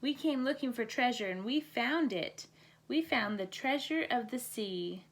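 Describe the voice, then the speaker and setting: A woman's voice: fairly high pitch, animated, unhurried. A woman voicing a curious young boy bear cub in a storybook, eager and questioning.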